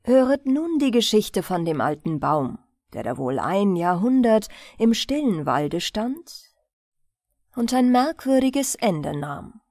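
A woman narrating a fairy tale as a bedtime audiobook, in an expressive, measured storytelling manner. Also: medium pitch, no echo, clean studio sound.

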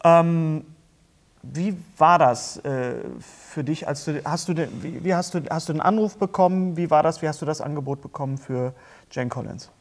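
A middle-aged man with a fairly high voice hosts a talk show. He speaks casually, feeling his way through sentences and rewording them as he goes. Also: moderate pace. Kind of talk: casual interview hosting. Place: indoor studio talk-show set, seated at a table.

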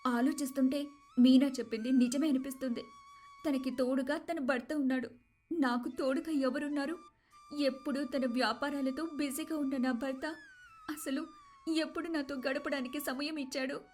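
A woman plays a rich, proud young wife with a very high, dramatic voice. She is sorrowful and repentant, in moral-story style over faint music. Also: steady tone, moderate pace, a little mellow, close to an everyday voice. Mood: sorrowful, brooding.

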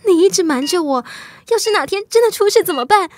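A woman's voice: very high voice, animated, fast talker, slight background noise. A woman acting an earnest, emotional teenage immigrant girl in a reflective radio drama. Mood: distressed. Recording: music behind the voice.

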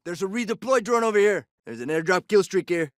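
A man's voice: high-pitched, animated, brisk, dry recording, studio quality. A man playing a cocky, wisecracking soldier in a video game, delivering short, punchy combat callouts. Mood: businesslike. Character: cocky, jokey male soldier, sporty ex-jock type. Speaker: video game operator character.

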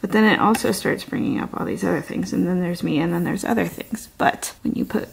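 A young woman in her twenties chatting casually into a desk camera in her small kitchen, rambling with lots of "like" and a slightly raspy voice. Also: on the low side, a little fast, low-quality recording. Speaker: vlogger. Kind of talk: casual vlog chat. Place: small home kitchen, indoors. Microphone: camera on a desk, facing her.

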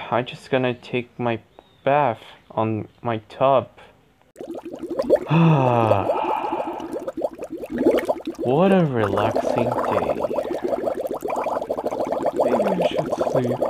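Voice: mid-range voice, darkish tone, slight background noise, exaggerated voice. A performer voicing a lazy, playful cartoon fox big brother, with a drawling, theatrical delivery. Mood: sleepy, relaxed. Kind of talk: character voice lines, acted.

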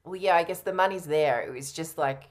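Low-pitched woman chatting on a video call. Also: fairly quick. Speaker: coaching trainee playing a sales prospect. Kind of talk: casual conversational roleplay. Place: home room by a window, on a video call.

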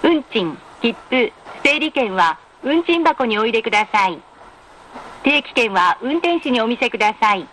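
A woman reading scripted in-car train announcements in a formal, polite, measured way with careful enunciation. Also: a little high, big pitch swings, a bit bright. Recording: noisy train announcement recording.

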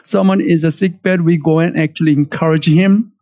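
A man in his forties with a Korean accent, preaching a lively sermon from a church stage and calling for amens. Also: a little high, brisk. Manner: animated, emphatic preaching with call-and-response. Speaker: pastor.

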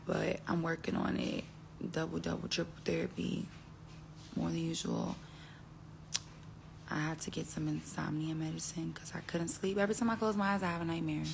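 Low, gravelly young woman on a phone. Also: slight background noise. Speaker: influencer on a live stream. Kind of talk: casual live-stream talk. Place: indoors at home, phone selfie. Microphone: phone held close.

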